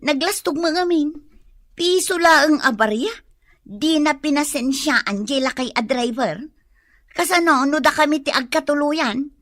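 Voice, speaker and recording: A woman's voice: high voice, unhurried, fairly bright tone, no echo. A woman playing a sassy, dramatic young girlfriend in a comedic radio drama. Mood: annoyed.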